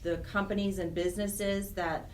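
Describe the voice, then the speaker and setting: A woman's voice: medium pitch, little pitch movement, normal speed, darkish tone, far from the mic, slight background noise, low-quality recording. A woman speaking conversationally and earnestly as a nonprofit director being interviewed, stressing points by repeating words. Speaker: nonprofit program director, radio interview guest.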